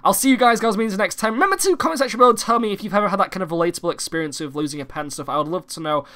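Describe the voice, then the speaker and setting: A young man from the United Kingdom, hoarse and croaky from a cold, hosting a casual gaming-channel vlog and rambling with many fillers and restarts. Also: high voice, very fast, sharp tone. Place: home recording room.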